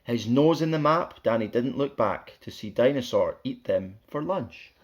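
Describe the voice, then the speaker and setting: A man's voice: medium pitch, expressive, normal speed. Man reading a playful rhyming children's story. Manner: playful, theatrical, sing-song rhythm. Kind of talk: animated read-aloud of a rhyming children's story.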